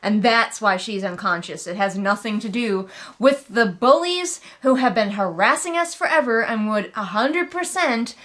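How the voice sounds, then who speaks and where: A woman's voice: on the high side, animated, moderate pace, fairly bright tone. A woman voicing a melodramatic, self-pitying, obtuse young fantasy heroine in a whiny, overdramatic manner, as a mocking book retelling. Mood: stubborn denial.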